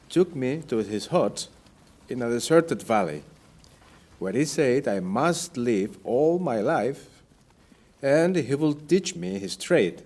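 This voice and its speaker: A weary Spanish sea captain, shipwrecked and starving, played by a man in an audio play. He speaks at a slow pace in a mid-range voice. His manner is formal, plaintive and old-fashioned. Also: medium pitch, a little mellow. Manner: formal, archaic, measured. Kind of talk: audio play acting, first-person account.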